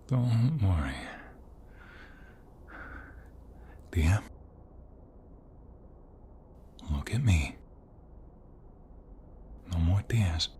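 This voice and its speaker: Guy doing a deep voiced mobster husband for asmr roleplay, super slow n breathy, whispery, tender but protective and fierce. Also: a little hiss or hum, full character voice. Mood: soothing, relieved. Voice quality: soft, breathy, often dropping to whispers. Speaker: voice actor. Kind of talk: ASMR romantic roleplay acting.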